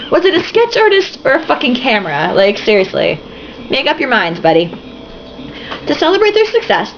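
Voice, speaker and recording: A young woman reading a novel aloud, tipsy, giggly and sarcastic, breaking off for swearing asides. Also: a little high. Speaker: reader and commentator. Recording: dull lo-fi home recording with faint hiss.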